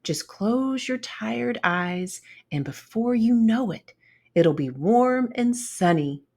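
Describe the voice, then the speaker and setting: A woman voicing a kindly, soft-spoken old male stag beetle in a children's storybook read-aloud. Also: a little high, expressive, takes it slowly, voice pushed up, heavily acted. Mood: gentle, reassuring. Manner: gentle, soft-spoken, grandfatherly.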